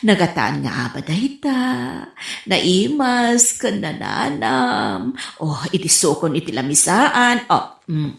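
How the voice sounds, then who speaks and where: Female actor as coy middle-aged auntie, lovestruck, giggly, comic radio drama, very elastic pitch, roomy echo. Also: sing-song, vocal fry, exaggerated voice. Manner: giggly, drawn-out delivery. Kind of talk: comedic radio drama acting.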